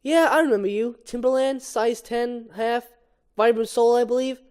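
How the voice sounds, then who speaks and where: A man's voice: very high voice, fairly even intonation, moderate pace, darkish tone, smooth, pitched above the performer's own voice. A man voicing a chatty, polite male cartoon bee in a casual, earnest way.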